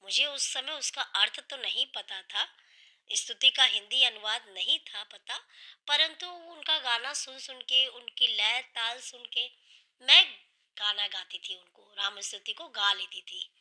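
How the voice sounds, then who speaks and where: A woman with a sore, hoarse throat, earnestly explaining a devotional hymn line by line and patiently restating each meaning. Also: a little high, unhurried, sharp tone, poor audio. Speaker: devotional singer and channel host.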